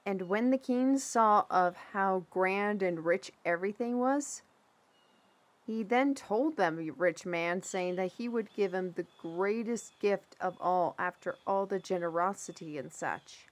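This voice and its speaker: A woman's voice: mid-range voice, a little slow, lightly acted. A woman playing a chatty, amused storyteller in an audio roleplay, telling royal lore conversationally with wry, dramatic asides. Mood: amused storytelling.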